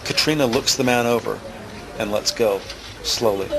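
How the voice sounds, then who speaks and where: A man narrating scenes from a script in a deliberate, descriptive way. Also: medium pitch, creaky, background noise.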